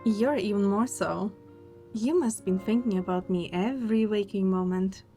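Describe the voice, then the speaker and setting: A woman's voice: medium pitch, normal speed, dark tone, soft background music, lightly acted. A woman acting a playful, affectionate and wistful young woman in love in a romantic audio drama.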